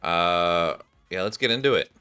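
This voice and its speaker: A man doing casual game-stream commentary in an animated way, thinking aloud and sometimes reading on-screen text. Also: sits low, measured pace, clear, resonant tone. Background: game music.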